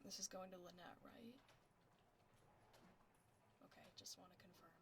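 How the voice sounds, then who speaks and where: A woman playing a laid-back, caring tomboy werewolf office admin in a soft, casual, often breathy ASMR roleplay, close to the microphone. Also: lowish voice, fairly even intonation, normal speed, noisy background, close to an everyday voice. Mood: casual, businesslike. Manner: casual, teasing, reassuring. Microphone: close binaural microphone.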